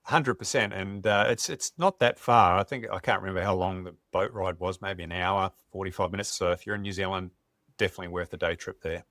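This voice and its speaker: A middle-aged Australian man, a wildlife photographer, telling stories in a relaxed, enthusiastic podcast chat. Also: low voice, brisk, dry recording, clean studio sound. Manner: enthusiastic, conversational, some restarts.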